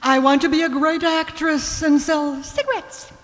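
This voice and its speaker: A woman's voice: high voice, steady tone, normal speed, close to an everyday voice. An actress playing a precocious, cheeky young carrot girl in a comic radio play, breezy and boastful. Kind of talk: comic radio drama acting. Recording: echoey hall with long reverb.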